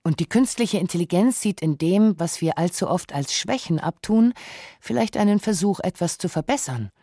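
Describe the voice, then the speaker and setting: A narrator delivering a scripted literary radio essay in a measured, reflective manner. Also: medium pitch, expressive, mellow, no echo, studio quality.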